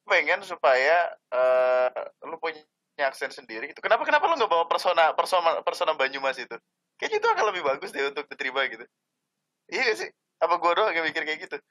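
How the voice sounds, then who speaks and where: Bright-voiced laid-back young man. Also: a little high, big pitch swings, quick pace, dull audio. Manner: laid-back, jokey, says "santai" a lot. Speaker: podcast host. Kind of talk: casual chat.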